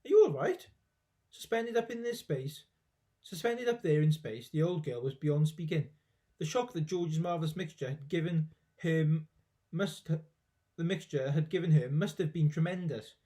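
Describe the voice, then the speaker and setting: Male storyteller, mellow and fairly high-voiced, expressive children's narration with dramatic emphasis. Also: normal speed. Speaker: teacher reading aloud.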